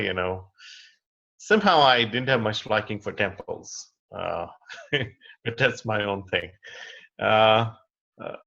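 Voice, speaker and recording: A man's voice: on the low side, creaky, bright, forceful tone. A man from India, candidly sharing his experience in a rambling, easygoing way over a video call. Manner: candid, rambling, laughs easily. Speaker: participant. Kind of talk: personal sharing. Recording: lo-fi web call audio.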